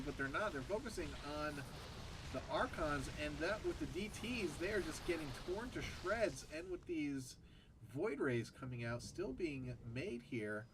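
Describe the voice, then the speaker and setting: A man's voice: on the high side, background noise. A man casting a strategy game match on his own, nasal and stuffed up after a bad sore throat, chatting casually between plays. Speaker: esports caster. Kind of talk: solo game commentary.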